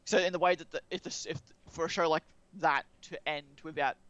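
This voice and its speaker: A man's voice: fairly high pitch, steady tone, a little fast, a bit bright, lo-fi sound. A man co-hosting a podcast in casual discussion, rambling and often rephrasing mid-sentence. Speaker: podcast co-host.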